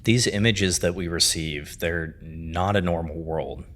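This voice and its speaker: A man delivers dramatic scripted storytelling narration, phrasing deliberately with weighty pauses. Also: deep voice, steady tone, a bit bright. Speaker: narrator and host of a horror series review.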